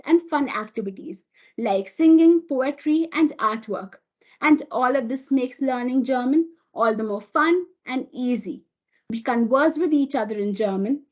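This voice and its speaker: A woman's voice: high-pitched, darkish tone, breathy edge. A teenage schoolgirl with an Indian English accent reads a prepared speech at a school orientation, recited in a formal manner. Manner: formal, recited, bilingual greeting. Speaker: student speaker. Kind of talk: prepared speech read aloud. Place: school auditorium. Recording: dull lo-fi audio.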